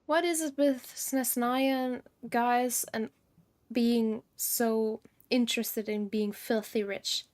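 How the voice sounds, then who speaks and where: A woman's voice: on the high side, fairly even intonation, slow pace, darkish tone. A woman doing casual live gameplay commentary, musing aloud and giving her opinions. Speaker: game streamer. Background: game music.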